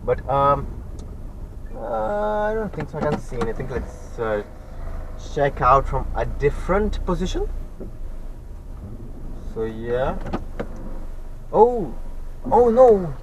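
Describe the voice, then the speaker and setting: A hoarse man with a raspy voice, chatting casually as a travel vlogger while driving and walking on a beach, rambling with fillers and correcting himself. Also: fairly high pitch, sing-song, takes it slowly, pauses often, noisy background. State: hoarse after a night out. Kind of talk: casual vlog tour narration. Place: driving a car, then outdoors on a beach.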